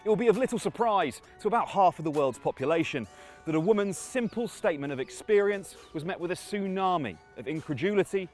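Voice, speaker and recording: Lively sardonic man over faint music. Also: high voice, brisk. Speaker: satirical commentator. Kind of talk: scripted satirical monologue.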